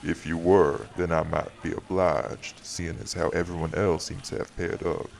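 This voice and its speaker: A man playing a weary, courtly vampire host, gravelly and firm, in an audio drama. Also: low voice, little pitch movement, normal speed. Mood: gentle, flirtatious. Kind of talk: audio roleplay drama acting.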